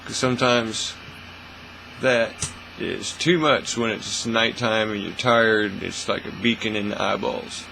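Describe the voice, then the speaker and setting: A man hosting a casual hands-on hobby demonstration in a folksy, informal, unhurried way, recorded in a small indoor workshop studio. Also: fairly low pitch, gravelly, poor audio. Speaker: host of a hobby workbench channel. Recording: steady mains hum, faint noise.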